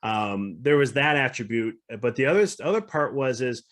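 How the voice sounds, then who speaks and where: A man's voice: medium pitch, animated, moderate pace, fairly bright tone. A man guesting on a podcast as a mindset coach, reflective and earnest in casual conversation. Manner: reflective and earnest, talking through ideas.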